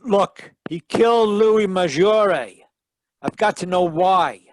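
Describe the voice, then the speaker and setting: A man's voice: high voice, animated, slow pace, no echo. A man playing a wisecracking hard-boiled detective who narrates in 1940s radio mystery style, wry and slangy. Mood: wry, pressing. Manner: wry, slangy, worldly-wise. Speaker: actor in a radio mystery performance. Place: home, live video call performance.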